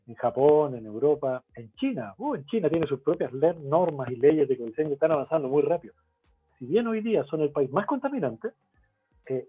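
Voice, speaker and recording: Chilean man, lively, on a tinny call line. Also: a little high, breathy edge. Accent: Chilean Spanish. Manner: explanatory, lively, lists points with rhetorical questions. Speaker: guest expert. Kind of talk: webinar interview. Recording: tinny phone-like line, faint music.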